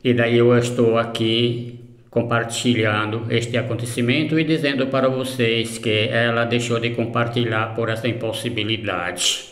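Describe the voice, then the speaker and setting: A grieving man hosting his own channel, speaking in a halting, somber way with many pauses and fillers, recorded on a phone outdoors. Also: mid-range voice, fairly bright tone, reverberant. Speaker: channel host. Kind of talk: grieving personal announcement.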